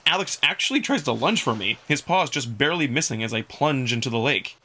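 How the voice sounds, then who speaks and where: Male narrator for visual novel, first person: expressive, dramatic, lively intonation, bright forceful tone. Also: mid-range voice, fairly quick, slightly rough.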